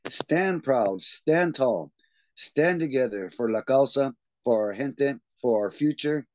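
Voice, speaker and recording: Man, reading group host, earnest steady reading, dull lo-fi call audio, dry sound. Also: mid-range voice, takes it slowly, no room sound. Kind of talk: reading a text aloud, then group discussion.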